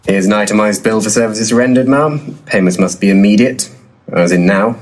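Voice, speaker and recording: An actor playing a brash, foul-mouthed, money-minded ghost investigator in a comedy audio drama, deadpan and bossy. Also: male voice, on the low side, moderate pace, lightly acted. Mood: brazen.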